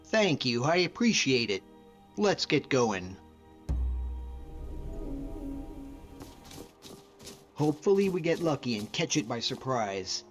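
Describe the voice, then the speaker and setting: A man playing a friendly, modest lone-wolf hunter as a video game companion, speaking in an earnest, measured way. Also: medium pitch, sing-song, soft background music, natural voice. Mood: focused.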